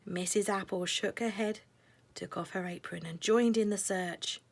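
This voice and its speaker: A woman narrating a children's storybook in a measured, expressive way, letting phrases end softly. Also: medium pitch, vocal fry.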